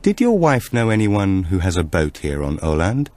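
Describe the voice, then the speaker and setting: A man's voice: deep voice, huge pitch swings, normal speed, a little mellow. A man voicing a polite, businesslike policewoman asking questions in an audiobook. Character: brisk, polite policewoman making enquiries.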